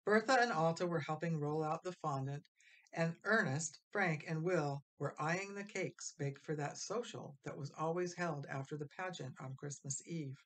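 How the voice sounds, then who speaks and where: A woman narrates a storybook in a rather low voice. Her delivery is scripted and expressive, made for reading a tale aloud to listeners. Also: normal speed. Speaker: storybook reader.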